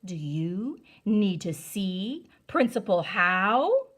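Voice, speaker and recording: A woman's voice: mid-range voice, sing-song, drawn-out words, pitched below the performer's own voice, exaggerated voice. A woman voicing a stern, dramatic female schoolteacher from a storybook, scolding and emphatic. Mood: angry scolding. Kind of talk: storybook read-aloud character voice.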